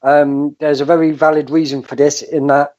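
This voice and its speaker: Man, hesitant, lots of fillers, mellow dark tone, dull lo-fi sound. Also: on the high side, level tone, normal speed. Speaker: podcast co-host. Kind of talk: casual political discussion.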